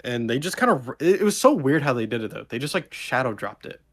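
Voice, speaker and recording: A man's voice: mid-range voice, very animated, fast, a bit bright. A man chatting casually as a podcast co-host, talking in a rambling, enthusiastic and loosely worded way. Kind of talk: casual chat.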